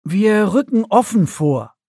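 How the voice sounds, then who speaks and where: A man's voice: sits high, lively intonation, takes it slowly, creaky, dark tone, breathy edge, no echo, studio quality, voice pushed up, full character voice. A man voicing a stern female alien expedition leader who gives clipped, authoritative orders in an audiobook. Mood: commanding.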